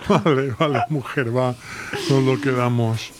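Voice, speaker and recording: Male actor as soft-hearted husband, Spain accent, mid pitch, colloquial and teasing, radio drama. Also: steady tone, a little slow, crowd noise, lightly acted. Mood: warm. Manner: colloquial, muttering to himself, teasing. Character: soft-hearted, grumbling ordinary husband in a shared home. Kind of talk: slice-of-life radio drama acting. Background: music.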